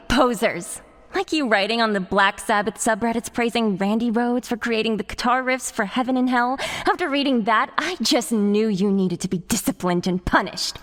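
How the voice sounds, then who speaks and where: A woman playing a playful, sadistic young metalhead villainess in a horror audio drama, theatrical, taunting and foul-mouthed. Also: fairly high pitch. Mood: gleeful menace.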